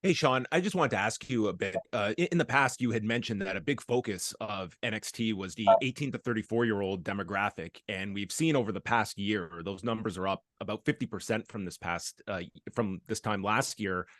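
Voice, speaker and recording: A man asking a detailed, measured question as a journalist on a press conference call. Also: lowish voice, fairly bright tone, no echo.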